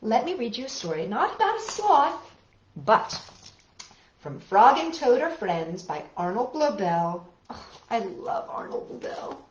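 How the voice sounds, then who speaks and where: A woman's voice: fairly high pitch, big pitch swings, unhurried. Woman storytime host in a roomy recording. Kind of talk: storybook read-aloud with chatty asides to children. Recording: roomy, dull lo-fi sound.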